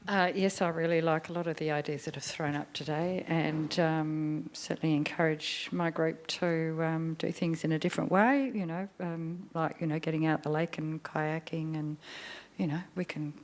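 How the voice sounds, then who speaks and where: A woman's voice: low-pitched, fairly even intonation, moderate pace. A woman from a volunteer group gives chatty workshop feedback that is full of fillers. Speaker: landcare volunteer group member.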